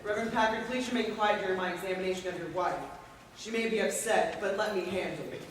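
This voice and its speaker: A man acting a condescending asylum superintendent, formal, measured and placating, in a stage play. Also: high-pitched, fairly bright tone. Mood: authoritative, placating. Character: smooth condescending male asylum superintendent doctor. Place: auditorium stage, recorded from far off. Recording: echoey hall from far off, faint hiss.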